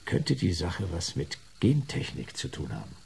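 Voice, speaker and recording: A wry, hard-boiled detective in a radio drama. The voice is low and very husky, with lively swings in pitch and a dry, laconic delivery. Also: male voice, normal speed, full character voice. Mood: sceptical. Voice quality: husky, gravelly. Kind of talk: German radio play acting.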